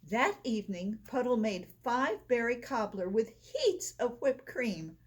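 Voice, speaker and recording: A woman reading a children's picture book aloud in an expressive storytelling style. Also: a little high, measured pace, slightly rough. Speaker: storybook reader.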